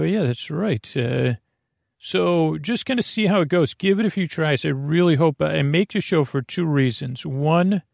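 A man hosting a bedtime podcast in a meandering, halting monologue, often interrupting himself and drifting off on tangents, with a creaky voice. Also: medium pitch, no room sound, studio quality. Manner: halting, digressive, self-interrupting. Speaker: podcast host.

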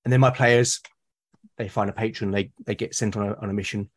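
A man with a British accent, a podcast guest talking casually and at length, often pausing to rephrase his thoughts. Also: fairly low pitch, fairly even intonation. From Britain. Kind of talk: casual conversation about solo roleplaying.

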